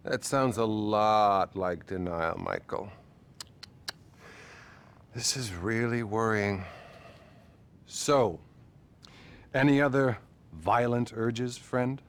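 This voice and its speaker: A man playing a patronizing, self-serving therapist in a video game, speaking in a measured way with feigned concern. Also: lowish voice, clean tone. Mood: probing, concerned. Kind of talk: video game cutscene acting.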